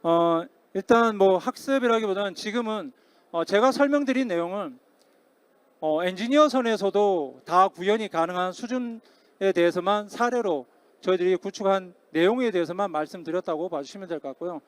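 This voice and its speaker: A man speaking as a technical expert in a formal conference Q&A, explaining politely and hesitantly with frequent pauses. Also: high voice, clean tone, no room sound. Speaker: conference speaker, security company technical director answering questions. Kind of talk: formal Q&A after a presentation. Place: conference hall stage, amplified talk.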